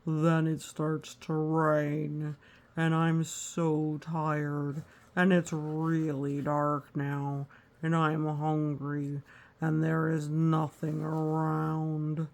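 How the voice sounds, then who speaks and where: A woman's voice: low-pitched, monotone, very slow, a little mellow, voice pushed down, full character voice. A woman voicing an anxious, gloomy male walrus in a storybook, dwelling dramatically on each misfortune. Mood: weary, miserable. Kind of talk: storybook read-aloud character voice.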